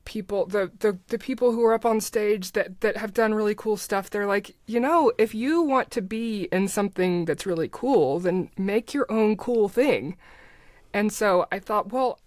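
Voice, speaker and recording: A woman's voice: medium pitch, normal speed, a little mellow, no room sound. Woman podcast guest talking, dry close sound. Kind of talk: casual conversational storytelling.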